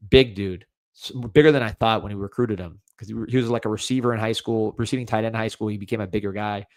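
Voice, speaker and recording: A young man with a faint Cuban-American accent hosts a livestreamed sports show and talks casually. He rambles, restarts his sentences and drops in "man." His voice sits low and sounds dry and close, with no room around it. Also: no echo. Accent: light Cuban-American. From United States. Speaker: podcast host.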